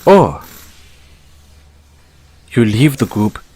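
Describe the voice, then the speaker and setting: A man with an Irish accent voicing a sly, playfully menacing bunny mechanic who is a mafia boss, in an intimate, teasing, unhurried audio roleplay style. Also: medium pitch, very animated, darkish tone, a little hiss or hum. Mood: probing, menacing. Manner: teasing, unhurried, coaxing with tag questions.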